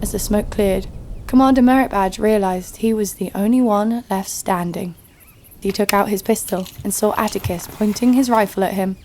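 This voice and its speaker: A woman's voice: medium pitch, darkish tone, a little hiss or hum, lightly acted. A woman playing a tough, sardonic fighter who narrates her own story with dry humour in an audio drama. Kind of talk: scripted first-person audio drama narration.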